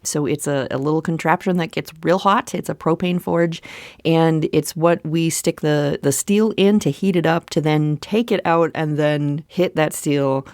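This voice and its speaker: A woman's voice: low voice, unhurried, a little mellow, dry recording, clean studio sound. A woman with a Minnesota accent hosting her own podcast in an upbeat, chatty way, often restarting her sentences. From central Minnesota. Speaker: podcast host. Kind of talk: solo podcast chat.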